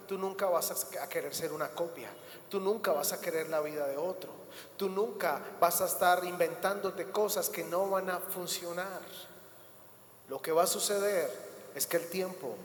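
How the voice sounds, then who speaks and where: A man's voice: high-pitched, slight background noise. A male pastor delivering an emphatic sermon, repeating words and building to rising bursts. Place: church hall. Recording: echoey church hall, faint ambience.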